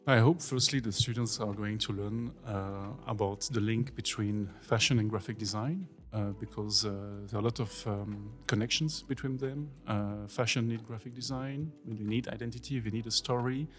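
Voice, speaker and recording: A Swiss man in his forties, a visiting design teacher, answers interview questions casually with many pauses and fillers. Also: fairly low pitch, steady tone, fairly bright tone, faint music behind. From Switzerland. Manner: hesitant, many fillers, non-native phrasing. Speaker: guest workshop teacher.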